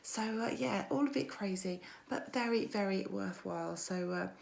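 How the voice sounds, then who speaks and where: Croaky-voiced woman coach on a livestream. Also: mid-range voice, normal speed, a little mellow, a little hiss or hum. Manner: chatty, greets viewers by name. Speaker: mindset coach. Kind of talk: weekly social media livestream.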